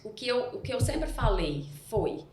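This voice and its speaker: A woman with a softened Mineiro accent, a podcast guest telling her story in a rambling, self-correcting way. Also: a little high, big pitch swings, measured pace, crowd noise. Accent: Mineiro Brazilian Portuguese, softened. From Minas Gerais, Brazil. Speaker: guest, entrepreneur. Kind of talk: casual podcast chat. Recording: echoey room, faint ambience.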